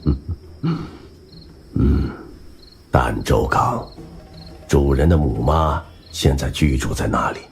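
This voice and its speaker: An actor playing a soft-spoken, quietly menacing middle-aged spymaster in a wheelchair in an audio drama. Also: male voice, a bass voice, slow pace, pauses often, soft background music, heavily acted. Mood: calm, mocking.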